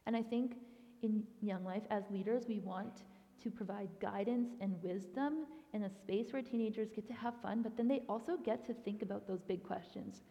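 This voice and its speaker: A woman's voice: mid-range voice, normal speed, dark tone. A young woman gives a casual guest talk at a church, chatty and informal with plenty of "um" and "like." Her voice rings with a long hall reverb, and the recording sounds somewhat dull and lo-fi. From grew up in Thailand. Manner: chatty, frequent "um" and "like". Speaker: youth ministry director, guest speaker.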